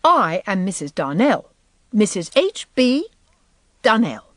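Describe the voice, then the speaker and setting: A woman's voice: mid-range voice, big pitch swings, slow, dry recording, studio quality. A woman voicing a haughty, affected society mother in a storybook, indignant and insistent, with pompous, emphatic delivery. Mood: haughty, annoyed. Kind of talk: audiobook character voice.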